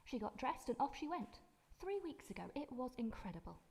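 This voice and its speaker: A woman's voice: high-pitched, sing-song, fairly quick, darkish tone. A woman playing a polite, astonished hospice receptionist with precise speech in a live radio drama. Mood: amazed. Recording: reverberant hall, live stage performance.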